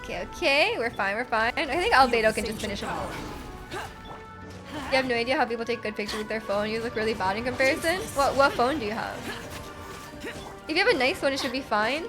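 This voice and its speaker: A woman live streaming a game, chatting casually with an excitable manner and often repeating her phrases. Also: a little high, fast talker. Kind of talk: casual live stream chat while playing. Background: game music.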